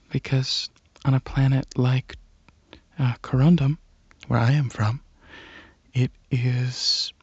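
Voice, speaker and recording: Soft, husky, hesitant alien dragon played by a man. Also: mid-range voice, no room sound, muffled recording. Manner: hesitant, halting, softly earnest. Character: shy, gentle alien dragon host, curious about Earth. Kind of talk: ASMR roleplay, soft rambling monologue.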